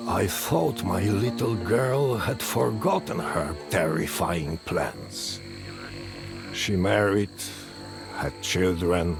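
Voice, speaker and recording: An older actor playing a reclusive old sorcerer and grieving father in a game, speaking in a solemn, deliberate storytelling manner. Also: low voice, gravelly, full character voice. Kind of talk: dramatic storytelling game dialogue.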